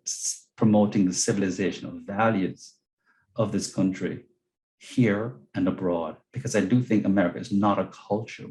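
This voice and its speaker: A man's voice: low voice, darkish tone, low-quality recording. A man from Jamaica with a Jamaican accent, an earnest guest speaker on a video call who repeats words as he searches for them. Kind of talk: webinar talk and Q&A answers.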